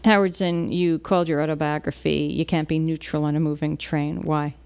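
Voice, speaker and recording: A woman's voice: deep voice, flat delivery, brisk, gravelly, darkish tone, smooth. A woman interviewer asking direct, plain questions in a studio.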